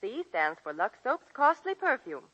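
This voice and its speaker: A woman's voice: on the high side, big pitch swings, normal speed, sharp tone, exaggerated voice. An actress playing an eager, chirpy young woman in a scripted 1940s radio commercial. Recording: muffled vintage 1940s radio broadcast.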